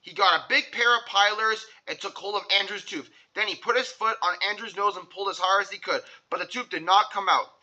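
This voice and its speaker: A man's voice: sits high, a little fast, hardly any pauses, sharp tone, lo-fi sound. A young man narrating a children's storybook aloud. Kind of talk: animated read-aloud narration.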